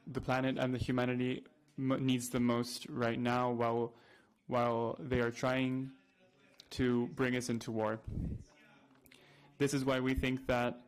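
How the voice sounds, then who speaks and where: A man giving an earnest, somewhat halting conference speech. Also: mid-range voice. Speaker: conference speaker, activist.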